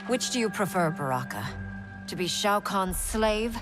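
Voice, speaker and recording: A woman's voice: medium pitch, lively intonation, a little slow, music in the background, close to an everyday voice. A woman playing a resolute young warrior princess in a fighting game, earnest and authoritative. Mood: earnest, persuasive. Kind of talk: cinematic fighting game acting.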